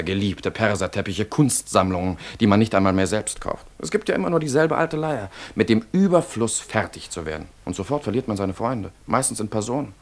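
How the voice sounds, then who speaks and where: Idealistic young romantic, radio play, fairly deep pitch, impassioned, lyrical, proud. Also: male voice. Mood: lofty, fervent. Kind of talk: German radio play acting.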